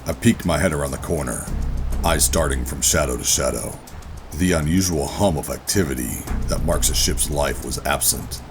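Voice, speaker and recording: An actor playing a hardened, wary operative who narrates a sci-fi horror audio drama in a brooding, deliberate, terse way. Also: male voice, rumbling low. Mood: tense, brooding. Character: hardened covert operative narrating, adult man, wary and steady. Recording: music behind the voice.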